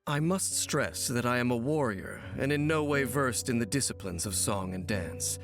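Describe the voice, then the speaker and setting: An actor playing a grave, world-weary warrior who narrates a game trailer in formal, measured, philosophical phrases. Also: male voice, medium pitch, close to an everyday voice. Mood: grave, reflective. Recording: music behind the voice. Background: instrumental game trailer music.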